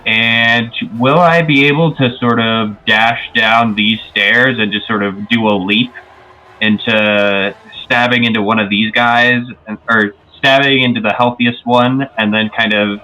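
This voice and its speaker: A man's voice: medium pitch, fairly even intonation, a little slow, bright, forceful tone, low-quality recording. A man playing a tabletop game, describing his character's actions with hesitant fillers. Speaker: player.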